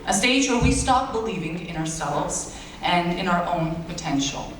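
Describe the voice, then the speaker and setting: A man's voice: high-pitched, lively intonation, moderate pace, fairly bright tone, a little hiss or hum. A young man in his late teens, a native Bulgarian speaker, giving an earnest, self-deprecating graduation speech as valedictorian in a large school auditorium. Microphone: far-off audience camera. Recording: echoey hall recorded from far off.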